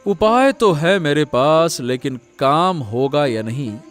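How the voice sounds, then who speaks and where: Man acting an earnest, animated village samosa seller. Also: on the high side, takes it slowly, soft background music. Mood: scheming, hopeful. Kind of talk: Hindi moral-story cartoon voice acting.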